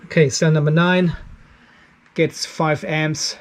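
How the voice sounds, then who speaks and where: A man talks through a repair job in his garage workshop and thinks out loud as he goes. His voice sits a little high for a man. The handheld camera is held close to him. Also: level tone, takes it slowly. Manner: explains step by step while working, thinking aloud. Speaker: channel host. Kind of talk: hands-on workshop demo. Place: cluttered garage workshop with a metal roof.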